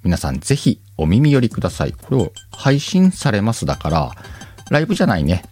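A man, hosting a relaxed chat show, reads listener letters and riffs on each one. His voice sits fairly low but moves up and down a lot. Soft music plays quietly behind him. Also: fairly quick, husky. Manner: chatty, laughs easily, comments aloud on letters as he reads them. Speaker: podcast host reading listener letters. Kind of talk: casual chat. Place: home recording.

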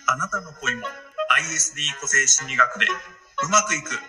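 A man's voice: mid-range voice, animated, brisk. A man performing as a promotional narrator, announcing the title of a romance audio drama in scripted style. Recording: loud music over the voice.

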